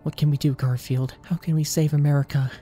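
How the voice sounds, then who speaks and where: Guy putting on a high overwrought cartoon everyman, anxious n pleading, soft music under it. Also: flat delivery, brisk, close to an everyday voice. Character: tearful, anxious, hopeless man, cartoon cat's owner. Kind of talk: absurd fan fiction audiobook reading.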